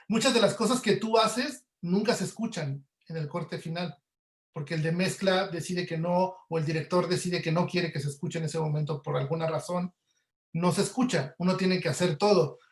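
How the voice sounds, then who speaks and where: A man's voice: on the high side, normal speed. A man with a Mexican Spanish accent giving casual, explanatory interview answers through everyday examples, as a guest audio producer. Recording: roomy, echoey webinar audio.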